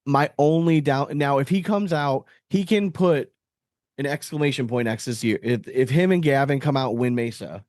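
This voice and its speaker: A man's voice: mid-range voice, normal speed, a little mellow, no room sound, studio quality. A man hosting a podcast in casual sports chat, talking in a slangy, opinionated, conversational way. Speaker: podcast host.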